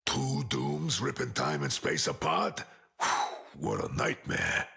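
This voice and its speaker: A gruff rock-skinned superhero bruiser from a video game, deeply gravelly and forceful. He talks in streetwise New slang, swinging up and down as he wisecracks, with a soft heart underneath. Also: wildly swooping pitch, takes it slowly, full character voice. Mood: wry. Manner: streetwise, slangy old-fashioned tough-guy talk. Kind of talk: video game hero banter.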